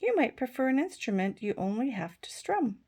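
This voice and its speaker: A knowing lion drummer from a picture book, quick and helpful, tipping up high on his advice, as a woman reads him. The voice stays bouncy throughout. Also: big pitch swings, a little mellow, breathy edge, full character voice. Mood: advising.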